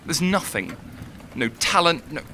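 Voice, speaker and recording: Young writer in a radio play, tortured n lovesick, edgy bright voice, bit of background noise. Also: male voice, a little high, sing-song, unhurried, creaky, full character voice. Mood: brooding, then bitter self-loathing. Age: young adult. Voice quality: intense, emotional delivery. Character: anguished, self-doubting young male writer, lovesick and volatile. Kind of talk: audio drama acting.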